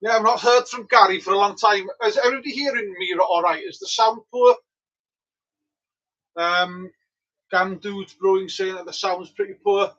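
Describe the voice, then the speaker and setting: A man's voice: sits high, normal speed, muffled recording. A man with a Welsh accent, a native Welsh speaker, chatting casually with viewers while livestreaming his homebrew brewday. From Wales. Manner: relaxed, chatty, answers viewers. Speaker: homebrewer streaming live. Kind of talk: casual live-stream chat. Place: home brewery.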